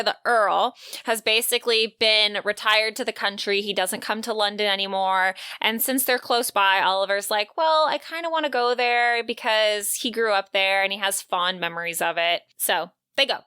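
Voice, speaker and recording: A woman co-hosting a podcast, recapping a book and reading out quotes with measured, wry storytelling. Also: medium pitch, bright, forceful tone, clear, resonant tone, no room sound, studio quality. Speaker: podcast co-host.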